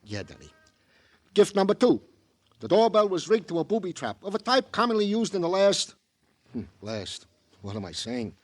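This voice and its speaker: Chatty, swooping, flowery radio police sergeant. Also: male voice, high-pitched, very animated. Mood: jovial, theatrical. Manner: florid, mock-poetic phrasing, drawn-out musing. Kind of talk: 1950s radio crime drama acting.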